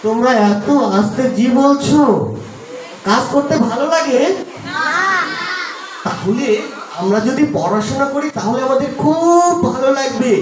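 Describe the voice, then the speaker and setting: A puppeteer plays a playful eight-year-old boy puppet who teases and coaxes the audience with questions. The voice is echoey and sits back from the mic, with a clearly audible live crowd around it. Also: sits high. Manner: coaxing, question-asking, addresses the crowd directly. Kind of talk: live puppet show patter addressed to children. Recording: distant, echoey, noisy live crowd recording.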